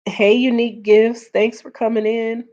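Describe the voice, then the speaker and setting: A woman hosting a casual live stream, earnest and repeating her points, greeting viewers, her voice getting hoarse. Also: a little high, level tone, normal speed, mellow. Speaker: livestream host. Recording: dull lo-fi stream audio.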